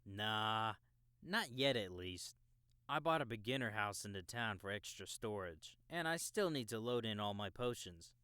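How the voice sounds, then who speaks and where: Man playing easygoing male game mentor, helpful, unhurried delivery, crisp smooth tone, very dry sound. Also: fairly low pitch, animated, bright, forceful tone, no echo. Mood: casual. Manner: casual, unhurried instructing.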